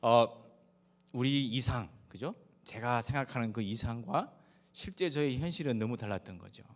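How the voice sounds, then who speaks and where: A man from Daegu with a Gyeongsang Korean accent, preaching a sermon as a pastor in a measured, halting way with frequent pauses. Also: mid-range voice. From Daegu, South Korea. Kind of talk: sermon with personal anecdotes. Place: large reverberant church hall. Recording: echoey hall with long reverb.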